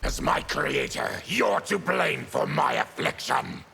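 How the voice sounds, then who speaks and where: An actor playing an afflicted, embittered mutant warrior in a video game, with a gravelly growl and grim, deliberate, menacing delivery. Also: male voice, mid-range voice, expressive, pushed and bright, full character voice. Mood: aggrieved. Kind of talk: video game character dialogue. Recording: clear background noise with clicks.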